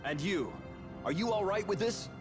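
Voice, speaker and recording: An actor playing an earnest young warrior with a solemn, determined manner in a video game cutscene. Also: male voice, sits high, lively intonation, normal speed. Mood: questioning. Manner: solemn, plainly spoken, determined. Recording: loud background music.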